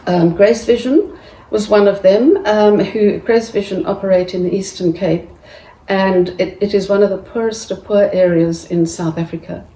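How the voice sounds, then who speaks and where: A woman giving a halting tribute speech with frequent restarts and pauses. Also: medium pitch, steady tone, dark tone. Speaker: family member giving a tribute. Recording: echoey room, distant mic.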